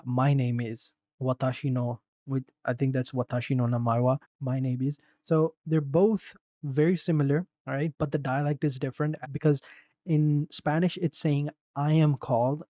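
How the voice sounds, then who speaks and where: Mellow-voiced man, relaxed tutorial talk. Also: mid-range voice, no echo, studio quality. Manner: relaxed, rambling, repeats "go ahead". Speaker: tutorial presenter. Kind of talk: casual screen-recording walkthrough.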